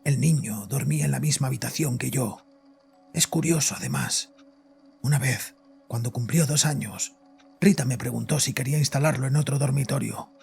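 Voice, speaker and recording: A guilt-ridden father in a horror audio drama, his voice very creaky and badly frayed. He confesses in a bitter, frightened, halting way, with music running underneath. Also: medium pitch, darkish tone, heavily acted. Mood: grim, defensive. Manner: agitated, halting, haunted confession. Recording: voice over background music.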